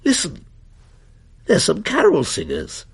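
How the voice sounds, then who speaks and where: A man's voice: on the high side, huge pitch swings, takes it slowly, gravelly, breathy edge, voice pushed up, full character voice. A man reading a story, voicing an eager, self-conscious adolescent boy. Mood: curious, eager.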